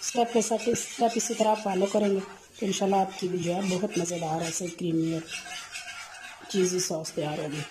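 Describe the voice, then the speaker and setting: A woman, hosting a cooking channel and narrating a recipe step by step, often filling pauses with "jo hai vo". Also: on the low side, steady tone, noisy background. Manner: leans on the filler "jo hai vo", gentle instructive tone.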